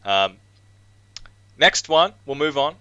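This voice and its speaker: A man hosting a podcast, chatting in a relaxed, hesitant way with filler words. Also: medium pitch, slow, smooth, no room sound. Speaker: podcast host. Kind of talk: casual interview chat. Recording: dull dry lo-fi mic.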